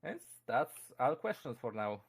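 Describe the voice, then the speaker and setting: A man's voice: medium pitch, big pitch swings, a little slow, vocal fry, slightly rough. A young man with a Polish accent giving a casual streamed talk, answering chat questions with many fillers, restarts and frequent chuckles. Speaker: convention presenter.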